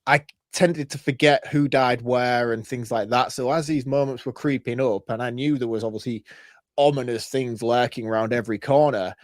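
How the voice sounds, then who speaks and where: Male podcast co-host, mid-pitched, blunt and teasing, dry close sound. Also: steady tone, moderate pace, no echo. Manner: opinionated, swears casually, teasing. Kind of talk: casual film review chat.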